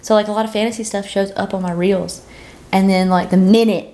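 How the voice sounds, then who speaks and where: A woman's voice: mid-range voice, fairly even intonation, a little fast, warm tone. A woman in her twenties co-hosting a podcast in casual chat, effusive and self-deprecating, often dropping in "like". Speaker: podcast co-host. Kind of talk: casual chat about books. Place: living room sofa, indoors.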